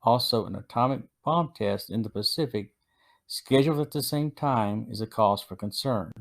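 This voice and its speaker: A man of middle pitch reads a prepared script for his podcast. His delivery is steady and even, like someone working carefully through notes. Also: normal speed, gravelly. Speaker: podcast host. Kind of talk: scripted episode review.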